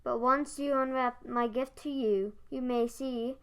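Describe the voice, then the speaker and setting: A man's voice: extremely high pitch, steady tone, unhurried, smooth. A young boy playing a mild, loving husband in a school radio play. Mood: tender. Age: child, about 9 or 10.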